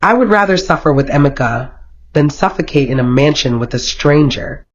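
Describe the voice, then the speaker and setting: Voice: mid-range voice, lively intonation, moderate pace, a little hiss or hum, lightly acted. A storyteller voicing a defiant young woman desperately in love, passionate and pleading, in a folktale. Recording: dull, lo-fi sound.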